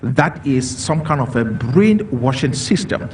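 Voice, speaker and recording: A man's voice: medium pitch, expressive, measured pace, darkish tone. Man from Cameroon, distant mic. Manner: earnest, repetitive phrasing with fillers. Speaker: panelist. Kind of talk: panel discussion commentary. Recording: distant mic with faint noise.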